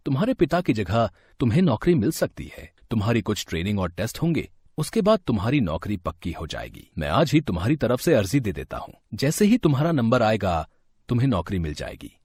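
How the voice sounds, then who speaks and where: An actor playing a kindly older uncle and official in a storybook tale, husky, measured and encouraging. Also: male voice, fairly low pitch, sing-song, darkish tone, no room sound. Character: kindly older family-friend uncle, bus service official.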